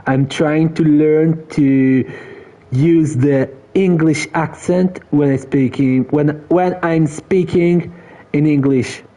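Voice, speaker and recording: A man with an Italian accent, a native Italian speaker, casually streaming a game and slowly answering viewers while searching for words in English. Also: a little high, fairly even intonation, mellow. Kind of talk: casual live stream chat.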